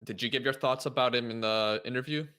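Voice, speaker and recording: A man's voice: mid-range voice, fast talker, sharp tone, clear, resonant tone. A man co-hosting a casual football podcast, leading the segments and asking the others for their views. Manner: steers the talk, asks the others. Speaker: co-host.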